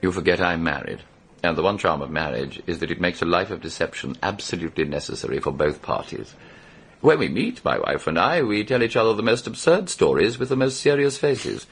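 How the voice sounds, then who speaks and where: An actor playing a witty, cynical middle-aged aristocrat with an upper-class English accent, arch and theatrical in a radio drama. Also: male voice, deep voice, lively intonation, a little fast, slightly rough, exaggerated voice. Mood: amused disdain. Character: witty, cynical English aristocrat, worldly and languid, recalling the past. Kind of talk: radio drama acting and first-person narration.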